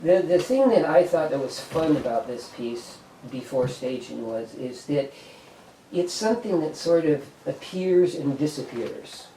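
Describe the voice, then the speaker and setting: Relaxed dark-toned male, tentative and rambling idea-pitcher, roomy meeting recording. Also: unhurried. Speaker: group member pitching staging ideas. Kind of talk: informal brainstorming talk. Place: indoor meeting room. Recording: roomy, distant mic, faint noise.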